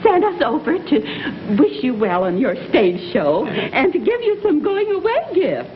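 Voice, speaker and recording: A woman's voice: high voice, huge pitch swings, unhurried, a little hiss or hum. A woman playing a fan club president in a 1960s TV sitcom, chatty, sweet and eager. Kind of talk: 1960s television sitcom comedy. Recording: dull old TV soundtrack with audience.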